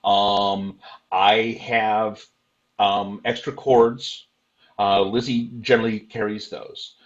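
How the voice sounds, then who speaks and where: An older man sharing practical performing advice on an online convention panel, loud-voiced, with many fillers and restarts. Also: fairly low pitch, flat delivery, slow, muffled recording.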